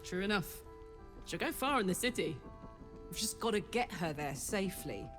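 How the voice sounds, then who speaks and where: A woman's voice: medium pitch, animated, normal speed, natural voice. A woman voicing a world-weary, protective older woman in a video game, wry and plain-spoken. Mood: wry, resigned. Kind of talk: video game character acting. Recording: music behind the voice.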